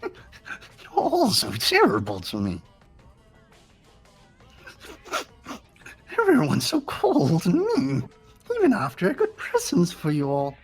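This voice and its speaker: Casting: small evil mascot bear, husky, wildly swooping pitch, mock-wounded and gleefully taunting, game villain. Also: wildly swooping pitch, measured pace, soft background music, exaggerated voice. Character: evil little mischievous bear monster, gleefully mocking villain. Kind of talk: fan-dubbed video game character voice.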